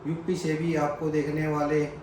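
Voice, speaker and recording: A man's voice: on the high side, monotone, normal speed. A man reading out viewer comments and chatting agreeably with a friend. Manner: agreeable, fills in with short assent. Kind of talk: casual chat. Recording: very echoey room with clear background noise.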